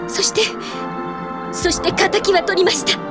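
A woman's voice: extremely high pitch, steady tone, measured pace. An actress in a Japanese anime audio drama playing a grown elder sister who teases her brother and yearns for her lost parents. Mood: anxious, searching. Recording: studio drama with music behind.

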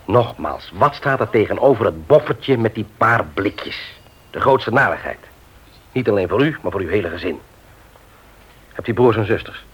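A man's voice: fairly low pitch, lively intonation, moderate pace, breathy edge. An actor playing a stern, moralizing man who lectures emphatically in a radio play. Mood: lecturing, firm. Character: stern, moralizing man confronting a petty thief. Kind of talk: Dutch radio play acting. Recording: muffled vintage radio recording.

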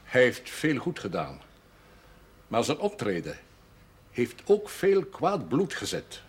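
Husky, formal elderly parish priest. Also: male voice, medium pitch, wildly swooping pitch, exaggerated voice. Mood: firm, authoritative. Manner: measured, formal speech sprinkled with French phrases. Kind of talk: 1970s television drama acting.

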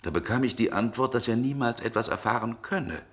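An actor playing a brooding, world-weary middle-aged gentleman in a 1960s German radio drama, with genteel, measured diction. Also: mid-range voice, expressive, natural voice. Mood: wry, lecturing.

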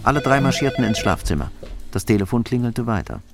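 Guy narrating a crime audio drama, mid voice, loud music behind. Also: mid-range voice, big pitch swings, a little fast, gravelly.

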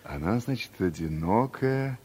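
Smooth careerist boss, middle-aged, hearty, persuasive, lively pitch, 1970s radio drama. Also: male voice, unhurried. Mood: scheming. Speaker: newly appointed deputy director. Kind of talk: 1970s Soviet radio play acting.

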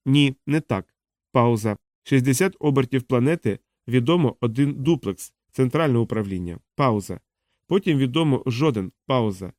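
A man voicing an indifferent machine translator in an audiobook, separating words in measured, broken fragments. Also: mid-range voice, dry recording, studio quality, lightly acted. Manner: measured, separating each word, flat.

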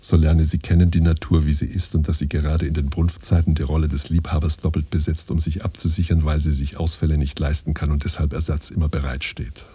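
Very deep, solemn, husky father. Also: level tone, fairly quick, few pauses, mellow, exaggerated voice. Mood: solemn, admonishing. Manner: pompous, archaic, instructing in imperatives. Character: solemn father lecturing his son on courtship. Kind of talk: scripted radio play monologue.

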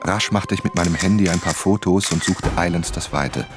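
A man plays an inquisitive male novelist turned sleuth in a radio drama. He sounds earnest and probing, in a low voice with faint music behind him. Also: a little fast, natural voice. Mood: tense narration. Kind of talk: radio drama narration and dialogue.

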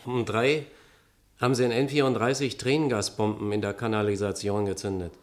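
A man's voice: on the low side, steady tone, a little fast, close to an everyday voice. An actor playing an eager, ambitious policeman in a German radio play, talking casually. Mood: eager, curious.

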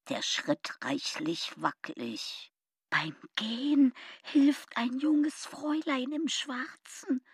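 Very slow, furtive mutterer. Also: female voice, sits high, slightly rough, no room sound, clean studio sound, full character voice. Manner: clipped fragments, hushed, secretive muttering. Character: furtive, covetous eavesdropping woman muttering to herself. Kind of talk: radio drama acting.

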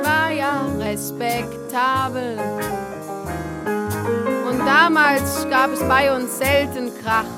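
High-voiced fretful young girlfriend. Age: young adult. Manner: measured rhymed recitation, fretful. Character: nervous, fretful, status-minded young girlfriend. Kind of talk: radio play verse monologue to music. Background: loud piano music.

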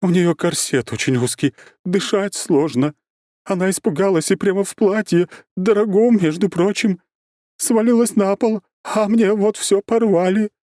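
A man's voice: high-pitched, moderate pace, darkish tone, dry recording, clean studio sound, voice pushed up, close to an everyday voice. A man voicing a distraught, combative middle-aged mother of the bride in an audiobook, with breathless, emotional delivery. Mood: agitated, indignant. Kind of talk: audiobook character voice.

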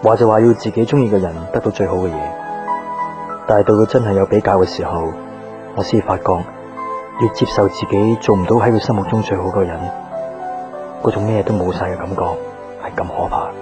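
An actor playing a proud, guarded young boyfriend in a Cantonese radio drama, speaking with a Hong Kong accent in a terse, restrained way. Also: male voice, on the low side, steady tone, a little fast, pauses often, close to an everyday voice. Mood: hurt, reflective. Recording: background music under the dialogue.

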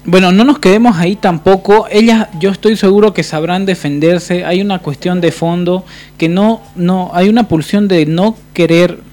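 A man's voice: high-pitched, steady tone, moderate pace, few pauses, a little mellow. A man hosting a live radio discussion, speaking from the studio. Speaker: radio host.

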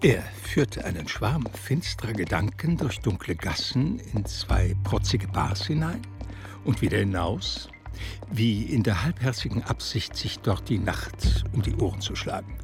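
An actor as a sombre, measured literary narrator in a German radio drama. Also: male voice, on the low side, very animated, vocal fry, music in the background, full character voice.

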